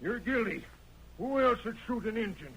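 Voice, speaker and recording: Male as a crooked frontier lawman: gravelly, sharp, blustering and folksy, vintage radio western. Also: high-pitched, lively intonation, moderate pace, slight background noise, exaggerated voice, poor audio. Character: corrupt, blustering frontier sheriff, subservient to the banker. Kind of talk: old-time radio western acting.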